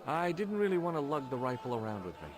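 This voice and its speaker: A man's voice: medium pitch, very animated, quick pace, close to an everyday voice. An actor voicing an adult male adventurer in a video game, narrating his own story with dry, sardonic remarks. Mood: wry.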